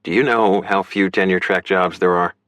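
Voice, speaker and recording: A man's voice: deep voice, steady tone, moderate pace, fairly bright tone, no echo. A man playing a guilt-ridden, defensive man in an audiobook, guarded, stubborn and pained. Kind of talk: audiobook character dialogue.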